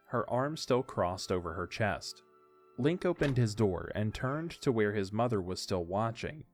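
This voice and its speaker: A man narrates an audiodrama from a script. His voice sits fairly low, and soft music plays behind the story.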